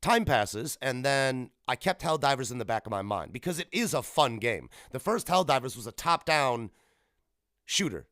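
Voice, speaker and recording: A man's voice: medium pitch, normal speed, no room sound, clean studio sound. Rambling man hosting a gaming podcast. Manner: rambling, self-correcting, casual and animated. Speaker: podcast host. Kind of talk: solo gaming news podcast. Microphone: podcast microphone.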